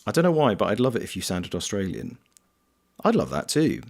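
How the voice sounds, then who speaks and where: Lively, lowish-voiced British man chatting. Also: fairly quick, vocal fry. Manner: chatty, playful, answers viewers by name. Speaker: streamer and voice actor. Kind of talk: casual live-stream chat, reading viewer comments aloud.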